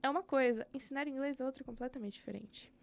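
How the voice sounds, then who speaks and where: A woman's voice: sits high, fast, creaky. A young woman with a Brazilian Portuguese accent, speaking candidly as a podcast guest about her work as an educator, thinking aloud with frequent fillers. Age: young adult. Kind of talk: casual interview conversation.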